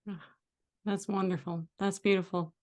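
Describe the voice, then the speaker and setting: A woman's voice: medium pitch, flat delivery, moderate pace, darkish tone. A woman chatting casually in an effusive, encouraging manner. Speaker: writer listening and giving feedback.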